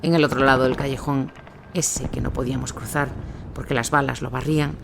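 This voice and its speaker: A male narrator with a mid-pitched voice reads a scripted war account. Clear ambient noise runs behind his words. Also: steady tone, a little fast. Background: noisy ambient sound.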